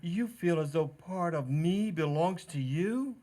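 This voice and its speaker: Slow-talking, amorous butcher, radio comedy played by a man. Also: fairly high pitch, lively intonation, warm tone. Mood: flirtatious, smitten. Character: amorous, cheesy butcher wooing a married woman. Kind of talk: old-time radio sitcom acting in community theater.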